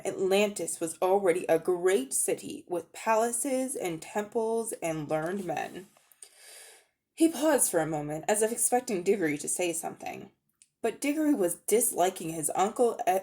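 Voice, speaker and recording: Female audiobook narrator, dramatic storytelling, highly varied intonation, mid-range voice. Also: expressive, normal speed, dark tone.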